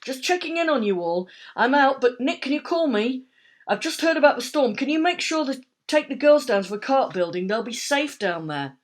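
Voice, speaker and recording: A woman voicing a worried mother leaving a hurried phone message. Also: sits high, sing-song, voice pushed up. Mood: anxious. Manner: hurried, anxious.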